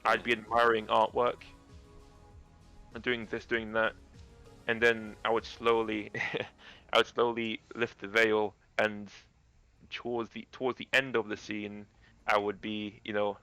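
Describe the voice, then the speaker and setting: A man with a naturally deep voice, a guest actor chatting casually about acting, rambling and restarting his sentences. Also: completely flat delivery, a little slow, sharp tone, smooth, soft background music, low-quality recording.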